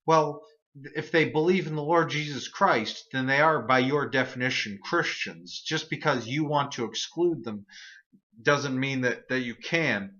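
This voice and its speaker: A man explains everyday reasoning mistakes in a relaxed but earnest monologue, often repeating a word as he restarts a thought. His voice is bright and sits at a middle pitch. The recording is dull and lo-fi. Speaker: host of an atheist video series.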